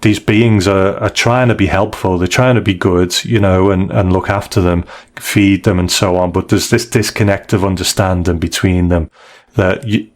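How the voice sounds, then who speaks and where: A man co-hosting a podcast, talking through a review in a casual, measured and explanatory way. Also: deep voice. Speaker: podcast co-host.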